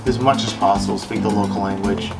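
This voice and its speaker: Guy with a lowish voice rambling casually like a travel podcast, loud guitar music going. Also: steady tone, quick pace, creaky. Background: acoustic guitar music.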